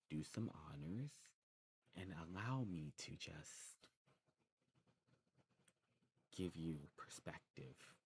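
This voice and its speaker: A very deep male demon played by a man tends his shop in an ASMR roleplay. He explains his wares patiently and fondly, wandering and pausing as he goes. Noticeable noise sits behind the voice. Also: a little mellow. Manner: rambling, hesitant, explanatory. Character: male demon snuff shopkeeper, knowledgeable, fond, patient. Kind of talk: ASMR roleplay, rambling product talk. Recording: clearly noisy background.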